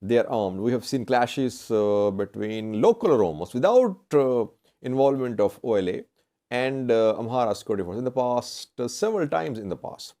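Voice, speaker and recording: A man presenting news commentary to viewers in halting, deliberate phrasing, often correcting himself. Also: mid-range voice, lively intonation, mellow. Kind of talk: semi-scripted news commentary.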